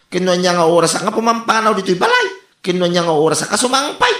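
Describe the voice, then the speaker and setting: A man's voice: sits high, big pitch swings, fast, fairly bright tone. An actor playing an exasperated working man pestered by neighbours in an Ilocano radio comedy, grumbling and exclaiming with an Ilocano accent. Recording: roomy echo.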